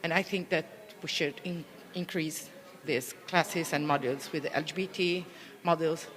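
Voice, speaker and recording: A woman police officer from Italy with an Italian accent, speaking English haltingly with many restarts as she searches for words. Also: low-pitched, steady tone, fairly bright tone, long reverb tail. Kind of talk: interview answers, conference delegate.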